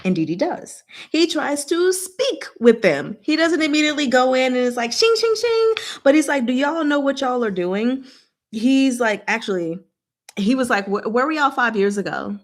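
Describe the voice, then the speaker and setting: A woman's voice: high voice, sing-song, normal speed. Chatty, playful woman discussing a book. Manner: chatty, playful, giggly, acts out paraphrased dialogue in slang. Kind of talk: casual book discussion, recapping scenes.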